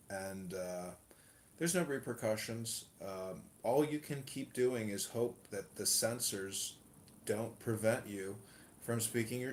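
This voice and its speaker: A man's voice: fairly low pitch, echoey room. A man, a political candidate, talking casually and indignantly in a rambling way with lots of fillers. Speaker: political candidate hosting an audio room.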